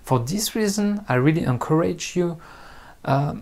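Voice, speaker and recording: French-accented male host, unhurried and halting, frequent filler pauses, off-the-cuff talk to viewers. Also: on the high side, animated, a little mellow. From France. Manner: hesitant, pausing often with ums and uhs. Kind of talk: unscripted talk to camera.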